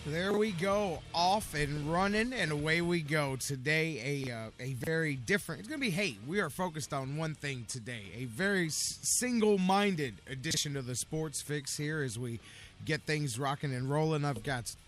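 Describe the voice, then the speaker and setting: Gravelly-voiced energetic radio host man. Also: on the high side, normal speed. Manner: energetic, rambling, catchphrase-heavy. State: gravelly, short on sleep. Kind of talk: sports talk radio.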